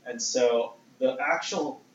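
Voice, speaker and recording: A man's voice: mid-range voice, slow pace, a little hiss or hum. Distant, echoey man in an interview. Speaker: startup investor being interviewed. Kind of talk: conversational storytelling in interview. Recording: distant mic, echoey room, dull sound.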